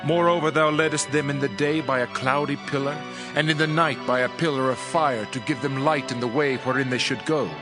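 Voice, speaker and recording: A man's voice: medium pitch, steady tone, brisk, lightly acted. A man playing a solemn Levite in an earnest, declamatory prayer from a dramatized scripture reading. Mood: earnest reverence. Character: solemn male Levite recounting God's deeds in prayer. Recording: music bed under the voice.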